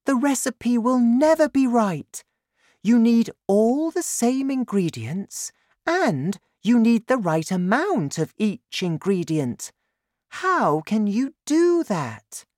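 Woman doing fretful storybook mum, high voice, exasperated, protective, very animated. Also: takes it slowly, dry recording, studio quality. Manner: high, crying out in alarm. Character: anxious, exasperated mother, protective of her family.

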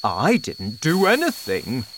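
A woman's voice: a bass voice, huge pitch swings, slow, a little hiss or hum, heavily acted. A woman voicing a sly, scheming father in a storybook, coaxing and falsely sweet. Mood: amused, innocent.

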